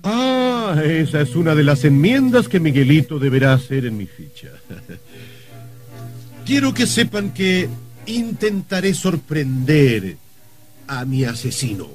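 A man's voice: a little high, sing-song, faint music behind. An actor playing a wry, confident rich man facing his own murder, in theatrical old radio drama style. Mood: defiant, sardonic. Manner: theatrical, deliberate, sardonic. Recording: vintage radio drama recording.

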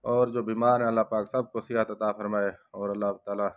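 A young man from Pakistan talks slowly to his handheld phone as he walks through a bakery. His low voice stays flat and even, and he keeps returning to prayers and blessings between his remarks. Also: smooth. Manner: slow, devout, repeats blessings often. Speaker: vlogger. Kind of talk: casual walk-through vlog with prayers. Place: bakery shop indoors.

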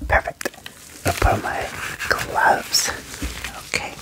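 A young man whispers gently and reassuringly in an ASMR medical roleplay as a doctor, close to the microphone. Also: slow. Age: young adult. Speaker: ASMR performer role-playing a doctor. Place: small indoor room. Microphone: close desk mic with furry windscreen.

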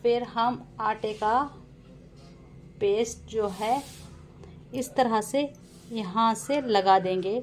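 A woman's voice: on the high side, steady tone, drawn-out words, long pauses. A woman giving a casual step-by-step cooking narration, often addressing viewers directly and repeating her instructions. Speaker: home cook and vlogger presenting a recipe. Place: home kitchen. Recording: clear kitchen noise, clattering pots and sizzling. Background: pots clanking, frying and stirring.

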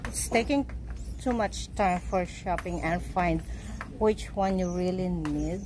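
A woman's voice: fairly low pitch, slow pace. A middle-aged Filipino-accented woman, a native Tagalog speaker, chatting casually for a vlog on a handheld phone. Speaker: vlogger. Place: large busy stores and a restaurant. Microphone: phone at arm's length. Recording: noisy stores, handheld phone.

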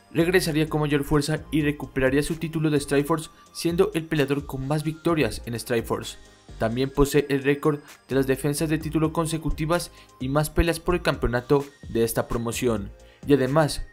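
A young man hosting his channel, reading a scripted sports narration in a steady, informative way into a studio microphone in a small room at home. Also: medium pitch. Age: young adult. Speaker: channel host. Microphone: studio microphone on stand.

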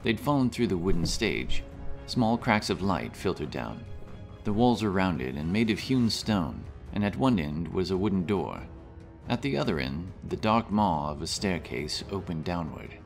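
Low-voiced man narrating fantasy over music. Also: very animated, moderate pace, gravelly. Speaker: audiobook narrator. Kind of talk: scripted fantasy story narration.

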